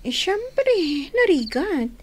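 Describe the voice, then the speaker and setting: Casting: loyal, wistful young Filipino-accented woman, high animated voice, slightly noisy radio drama recording. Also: very slow, exaggerated voice. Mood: confiding. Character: Maureen, young woman office worker, loyal, wistful girlfriend.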